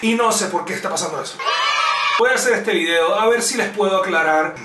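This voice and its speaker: High-pitched young Venezuelan man, theatrical vlogger. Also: brisk, very noisy. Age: young adult. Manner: animated, theatrical, exaggerated emphasis. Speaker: video blogger. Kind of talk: comedic explainer vlog to camera. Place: small living room, indoors. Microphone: camera a short distance away. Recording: roomy, distant microphone, music behind. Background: music.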